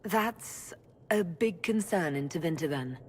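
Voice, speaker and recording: An actress playing a calm, supportive leader in a fantasy video game, speaking in a measured, earnest and direct way. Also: female voice, on the low side, slight background noise. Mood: firm, supportive. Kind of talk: video game dialogue acting.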